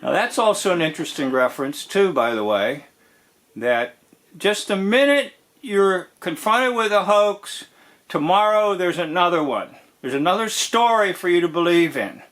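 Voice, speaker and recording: A man giving informal commentary on a TV episode as host, in a deliberate, drawn-out way that dwells on key phrases. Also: sits high, animated, a bit bright.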